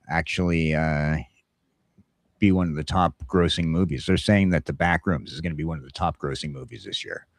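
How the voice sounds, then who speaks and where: A man's voice: very deep voice, no room sound, clean studio sound. A man hosting a casual talk show, rambling along and repeating phrases for emphasis. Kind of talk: casual chat.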